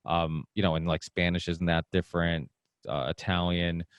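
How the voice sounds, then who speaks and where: A man's voice: sits low, little pitch movement, normal speed, clean tone, no echo. A middle-aged man who grew up speaking Portuguese, talking as a podcast guest in a meandering, casual way that often restarts sentences. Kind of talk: casual conversation.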